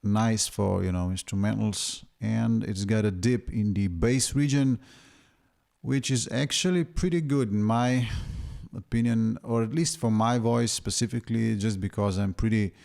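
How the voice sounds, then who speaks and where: A man talks through a product review in a relaxed, chatty way, often with filler words. His voice sits low and boomy. It has a gravelly edge. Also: unhurried. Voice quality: deep, boomy voice. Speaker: gear reviewer.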